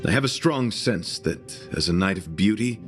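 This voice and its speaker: An actor playing a noble, devoted knight in a video game, speaking with formal, florid, solemn chivalry. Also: male voice, sits low, lively intonation, moderate pace. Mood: solemn devotion. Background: prominent music.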